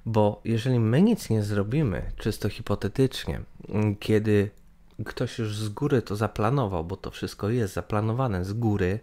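A man's voice: fairly low pitch, normal speed, dark tone. A young man in his twenties giving his opinion to camera, hesitant with many fillers and restarts, recorded on a webcam in a small room. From Poland. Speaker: gaming channel host. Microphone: webcam in corner of screen capture.

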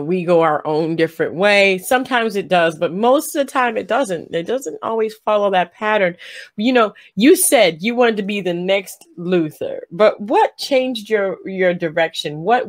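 A middle-aged woman hosting an interview over a video call from a room at home, affirming her guest and asking gentle open questions. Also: on the low side. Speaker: interview host. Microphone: webcam on a video call.

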